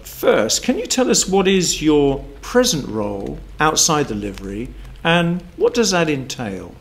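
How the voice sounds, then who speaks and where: A man's voice: a little high, very animated, measured pace, creaky. A middle-aged man putting formal, prepared questions as an interviewer. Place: wood-panelled room, indoors.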